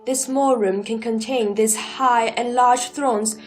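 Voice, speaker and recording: A woman voicing an earnest, humble male monk disciple in an audio drama, reciting in a plain, measured way. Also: on the high side, steady tone, a little mellow. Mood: amazed. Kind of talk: scripted audio drama dialogue.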